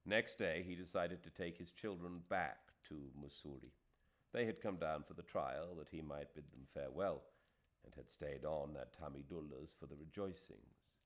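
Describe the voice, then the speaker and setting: A man with a British accent reading literary fiction as an audiobook narrator, with dramatic, deliberate phrasing. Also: extremely low pitch. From Britain.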